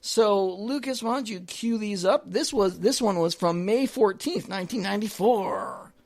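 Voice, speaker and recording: A radio host presenting an absurd comedy show in a casual, halting, repetitive and mock-serious way. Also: medium pitch, darkish tone.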